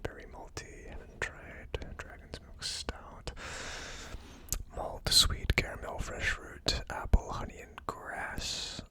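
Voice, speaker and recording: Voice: slow, pushed and bright. A person whispering in an ASMR style as host of a tasting video, with a tentative, musing delivery. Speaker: host of a whiskey tasting series.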